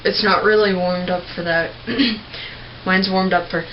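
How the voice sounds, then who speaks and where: Young woman rambling thru a diy screaming lesson, lots of throat clearing, lo-fi recording. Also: mid-range voice, steady tone, takes it slowly. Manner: chatty, rambling, with many filler words and throat clearing. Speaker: screaming vocal tutor. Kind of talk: casual home tutorial video. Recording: dull lo-fi home recording with faint noise.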